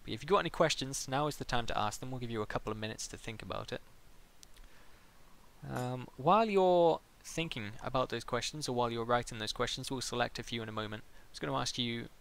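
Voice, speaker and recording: A man with a mid-pitched voice and noticeable vocal fry hosts a live interview. He speaks casually in stop-start phrases, moving the chat along in an informal way. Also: fast talker, a bit bright. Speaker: interviewer, live stream host.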